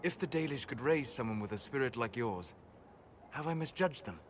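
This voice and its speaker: An actor playing a wise, aloof male elf mage in a game cutscene, measured and soft-spoken. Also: mid-range voice, animated, slight background noise, close to an everyday voice. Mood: calm, thoughtful. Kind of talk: video game cutscene dialogue. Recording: game audio with long reverb, faint ambience.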